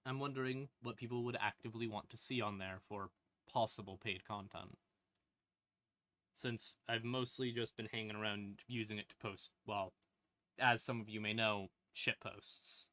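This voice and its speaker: A man's voice: lowish voice, steady tone, normal speed, pushed and bright, dry recording. A man hosting a casual livestream, talking informally in a rambling way with hesitant, trailing asides. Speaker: streamer hosting a lore question-and-answer stream. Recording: ambient horror music playing behind. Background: creepy ambient backing music.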